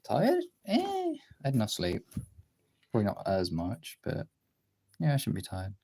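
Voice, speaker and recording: Streamer voice actor just rambling casually to chat, soft mellow tone, slow pace, really dry sound. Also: medium pitch, wildly swooping pitch, slightly rough, no echo. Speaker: livestreaming voice actor.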